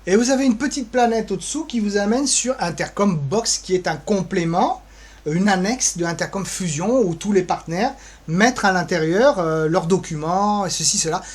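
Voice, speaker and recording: A man's voice: sits high, moderate pace. A man giving an informal website walkthrough, hesitant and rambling, with playful asides. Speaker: presenter.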